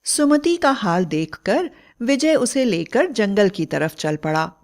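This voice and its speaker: A woman's voice: mid-range voice, very animated, takes it slowly, darkish tone. A woman narrating a scripted bedtime story as a storyteller.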